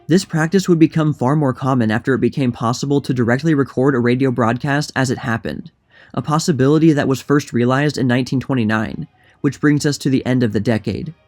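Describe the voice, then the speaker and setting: A man's voice: medium pitch, brisk, a little mellow. A man narrating a scripted history documentary in a plain, informative manner. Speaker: narrator and creator of a history channel.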